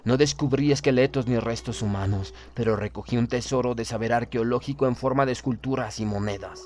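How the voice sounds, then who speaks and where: Male audiobook narrator, mid-range voice, solemn and formal, for measured first-person dramatic literary readings. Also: medium pitch, a little mellow, faint music behind.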